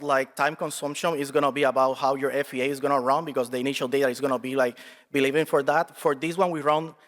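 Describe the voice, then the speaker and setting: A man presenting a research project at a student competition, his voice a bit higher than most men's. He talks haltingly, with plenty of "like" and "uh" and many restarts. The sound carries a long echo from a large hall. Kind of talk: technical pitch and answering questions.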